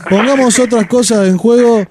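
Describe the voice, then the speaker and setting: A man's voice: very high-pitched, moderate pace, a little mellow, slight background noise. A man with an Argentine accent hosting a radio show, joking and teasing with crude banter. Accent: Argentine Spanish. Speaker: radio host. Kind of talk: casual radio chat.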